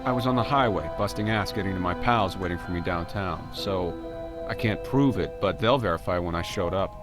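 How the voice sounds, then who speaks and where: A man's voice: sits low, a little fast, over music, natural voice. An actor playing a blunt, defensive male murder suspect in a video game, speaking in a curt, detached way. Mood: evasive, matter-of-fact.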